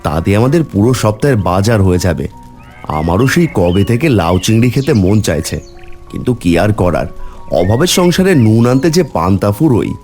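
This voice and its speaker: Man acting a low-voiced poor village father, very expressive. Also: fairly quick, soft background music. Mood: resigned, gentle. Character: poor humble village father, hesitant and pleading. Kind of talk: cartoon fairy-tale dialogue acting.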